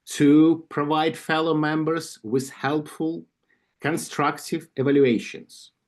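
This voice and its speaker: A man with a Ukrainian accent reads a club ceremony script over a video call. He goes at an unhurried pace, stopping for asides and small corrections along the way. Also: on the high side, steady tone. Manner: slow, careful reading with asides and self-corrections. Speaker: club vice president of membership. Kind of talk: reading an induction ceremony script at an online club meeting.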